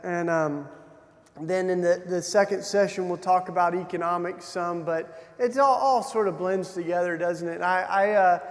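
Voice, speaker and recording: A man from Tennessee with a Southern accent talks to a church crowd in a loose, self-deprecating way, stumbling over restarts and fillers. His voice sits high, and the sound rings with long reverb from a large hall. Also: fairly even intonation, normal speed. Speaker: keynote speaker. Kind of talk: informal speech to a church gathering.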